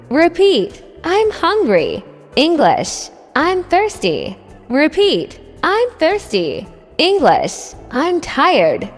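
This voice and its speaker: A woman teaching a scripted beginner language drill, saying each phrase twice in a deliberate way. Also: high-pitched. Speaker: English teacher. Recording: long reverb with faint music.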